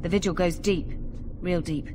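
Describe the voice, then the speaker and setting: A woman's voice: fairly low pitch, steady tone, darkish tone, slight background noise, lightly acted. An actress voicing a plain-spoken, dutiful female keep sergeant in a video game. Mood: concerned, reporting. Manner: brisk, matter-of-fact, earthy.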